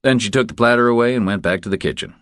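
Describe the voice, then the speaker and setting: A man's voice: medium pitch, rapid-fire, fairly bright tone, no echo, studio quality. A man reading a crime thriller audiobook as scripted narration.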